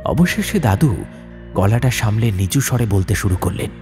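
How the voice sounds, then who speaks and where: A man's voice: lowish voice, expressive, moderate pace, a little mellow, faint music behind. A man narrating a horror audiobook in a dramatic, suspenseful, theatrical manner.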